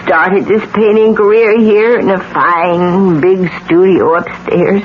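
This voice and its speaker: Actress as slow, weary older radio-drama woman. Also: mid-range voice, fairly even intonation, close to an everyday voice. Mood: resigned. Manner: halting, drawn-out, theatrical delivery. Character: weary, bitter older mother of grown son. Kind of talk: 1970s radio mystery drama acting. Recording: dull, faintly noisy 1970s radio recording.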